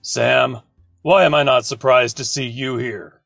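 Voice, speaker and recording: A man's voice: medium pitch, steady tone, measured pace, creaky, a bit bright, no echo, studio quality, soft background music. A man playing a wry police lieutenant in an old-time radio drama, talking matter-of-factly. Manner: matter-of-fact. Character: brisk, wry male police lieutenant, old pal of the detective. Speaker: supporting actor.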